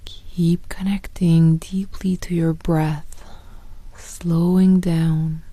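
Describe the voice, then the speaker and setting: Woman meditation guide, half-whispered delivery, very slow and flat, dry close sound. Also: lowish voice, dry recording. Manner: soft, slow, partly whispered.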